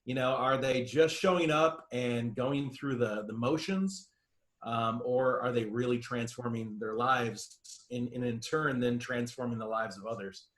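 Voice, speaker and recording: A man's voice: medium pitch, measured pace, a bit bright. A man discussing informally on a group video call, agreeing and circling his way to his point with some hesitation. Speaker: participant commenting.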